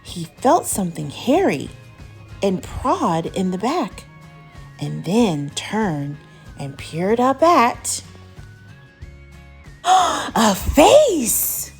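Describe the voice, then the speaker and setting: A woman reading aloud as a teacher, telling a children's story in an expressive, deliberate way. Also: mid-range voice, vocal fry, faint music behind. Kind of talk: animated children's storybook narration.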